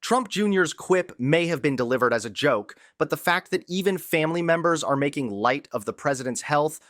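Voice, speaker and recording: A man's voice: a little high, lively intonation, brisk, a bit bright, no room sound, studio quality. A man reading scripted political news as a dramatic voice-over narrator. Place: dry studio voice-over.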